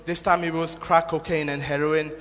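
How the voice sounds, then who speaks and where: A man in his twenties from Nepal, giving a church testimony in an earnest, halting way with many fillers and restarts. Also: on the high side, little pitch movement, fairly bright tone. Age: 20s. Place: church hall. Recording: long hall reverb.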